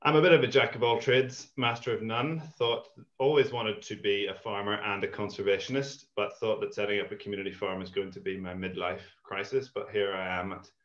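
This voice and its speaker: A man's voice: on the low side, little pitch movement, brisk, few pauses, a bit bright. A man in his thirties, a community farm founder who grew up in Ireland and Malawi, talking in a thoughtful, rambling way as a guest on a video call. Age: 30s. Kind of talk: casual interview chat over video call. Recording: echoey, dull lo-fi call audio.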